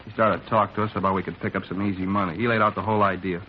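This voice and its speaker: A man's voice: low-pitched, fairly even intonation, racing, lightly acted. An American actor playing a resigned small-time robbery suspect in a 1950s radio crime drama, bitter and colloquial as he talks. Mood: resigned, confessing. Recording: dull, noisy vintage radio recording.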